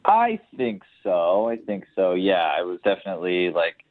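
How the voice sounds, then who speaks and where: A man's voice: deep voice, very animated, moderate pace, no room sound. A man calling in to a radio show, telling his story casually and a little hesitantly, good-natured. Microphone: telephone. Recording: thin, narrow phone line.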